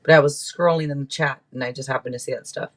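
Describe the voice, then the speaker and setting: A man with a mid-pitched voice gives a casual live tarot reading. He talks in a chatty, rambling way and often breaks off for playful asides to the people watching. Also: fairly even intonation, quick pace. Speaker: tarot reader and livestream host.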